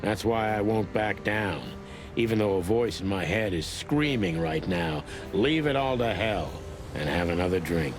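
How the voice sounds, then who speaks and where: A low-voiced, hardboiled old rooster cop, played by a man for a noir video game. He is weary, dry and brooding. Loud music plays behind him. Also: normal speed, close to an everyday voice. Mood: resigned, brooding. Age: older. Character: world-weary old male rooster police detective, cynical noir. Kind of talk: film noir game voice acting.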